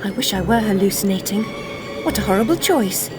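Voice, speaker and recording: An actress playing a somber, defiant young woman, bitter and questioning, in a dark fantasy video game. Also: mid-range voice, animated, a little fast, loud music behind the voice, lightly acted. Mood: bitter, troubled.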